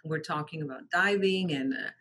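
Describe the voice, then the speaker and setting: A woman's voice: low-pitched, normal speed. A middle-aged woman from Mexico whose first language is Spanish, telling her story as an interview guest, often restarting and repeating words. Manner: frequent restarts and repeated words, animated quoting of others. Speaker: guest, translation company founder.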